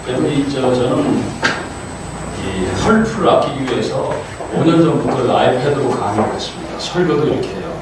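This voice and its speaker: An older man gives a relaxed, joking talk as a guest lecturer, teasing himself as he goes. His voice sits at an ordinary pitch and reaches the recording from far off in a very reverberant auditorium. A steady murmur of room noise runs underneath. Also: moderate pace. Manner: chatty, self-deprecating, quotes others in playful voices. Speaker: guest lecturer, counseling professor. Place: large auditorium. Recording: echoey hall, voice from far off.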